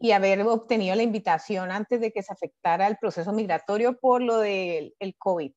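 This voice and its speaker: A woman's voice: medium pitch, brisk, a little mellow. A woman from Cali with a Colombian accent, speaking casually as a guest in a testimonial interview. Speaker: interview guest, former exam candidate. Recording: dull, lo-fi audio.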